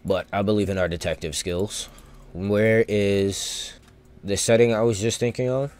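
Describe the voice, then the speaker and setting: A man's voice: on the low side, steady tone, unhurried, clear, resonant tone. Slangy man rambling through a gaming stream. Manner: slangy, rambling, thinking out loud. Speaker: video game streamer. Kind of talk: casual live gameplay commentary.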